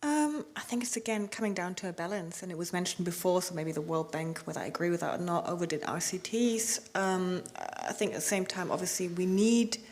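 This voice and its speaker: A woman's voice: on the low side, fairly quick, hardly any pauses, a little mellow. A woman answering as a panelist, thoughtful and weighing both sides.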